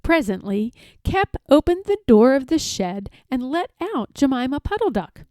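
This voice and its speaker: A woman narrating a children's story aloud as an expressive storyteller, reading from scripted text. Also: high voice, moderate pace, dry recording, studio quality.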